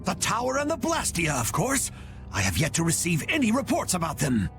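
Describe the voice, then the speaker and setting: Haughty game villain, very high male voice, swooping indignation, music underneath. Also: wildly swooping pitch, a little fast, heavily acted. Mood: indignant, demanding. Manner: imperious, sputtering outrage. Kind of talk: dramatic video game voice acting.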